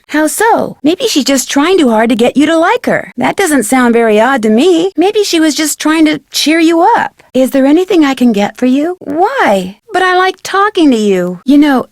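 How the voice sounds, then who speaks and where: Actress doing a young girl detective from a video game, highish voice, curious n polite, really dry close sound. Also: darkish tone, no room sound, close to an everyday voice. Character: young female amateur detective, friendly, curious, persistent. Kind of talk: scripted adventure game dialogue.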